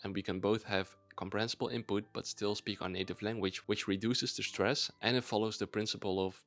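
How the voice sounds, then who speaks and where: A man's voice: lowish voice, fairly even intonation, brisk, fairly bright tone. A young Dutch man, a native Dutch speaker, talking in a chatty, enthusiastic explainer vlog with ums and restarts. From Netherlands. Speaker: YouTuber.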